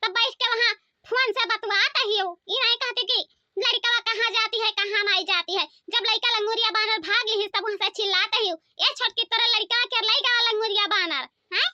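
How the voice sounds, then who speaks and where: A fretful, scolding village mother from a cartoon comedy, chattering in an Awadhi accent. Her voice is squeaky, artificially raised and piercingly bright. The sound is dull and lo-fi. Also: normal speed, dry recording, full character voice. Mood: nagging, scolding. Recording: dull lo-fi close dry sound.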